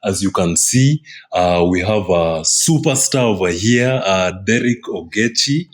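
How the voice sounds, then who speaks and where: A young man hosting a casual podcast chat, excitable and repeating phrases for emphasis. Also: sits low, measured pace. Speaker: podcast host.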